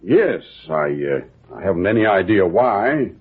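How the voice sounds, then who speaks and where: An actor playing a polite, faintly uneasy banker in an old-time radio drama, formal and a little hesitant. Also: male voice, deep voice, sing-song, measured pace, husky, heavily acted. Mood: grave, puzzled. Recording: tinny old radio recording.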